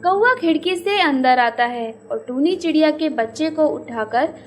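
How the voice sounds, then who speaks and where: A woman's voice: high-pitched, expressive, measured pace. A woman narrating a scripted children's moral story as the storyteller.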